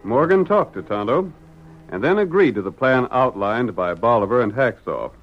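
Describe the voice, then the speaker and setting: A man's voice: medium pitch, animated, moderate pace. A man with an American accent giving dramatic scripted narration for an old-time radio western. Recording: muffled old radio recording, music behind.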